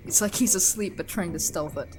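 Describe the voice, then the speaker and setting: A woman's voice: lowish voice, fairly quick, noisy background. A woman commenting casually on a game she is playing, sarcastic and swearing often, laughing a lot. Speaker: let's play host.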